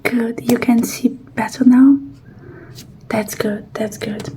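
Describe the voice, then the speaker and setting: A woman plays a calm, self-assured female hacker in an ASMR roleplay. She speaks slowly in a hushed, mellow whisper, reassuring throughout, over faint noise. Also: fairly high pitch.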